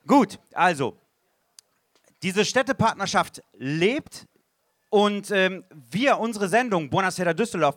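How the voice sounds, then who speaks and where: A male host with a fairly high voice talks through a live event, stopping often with fillers while he searches for words. Italian is his mother tongue. The sound is dry and close. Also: a bit bright, no room sound. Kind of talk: live stage event hosting.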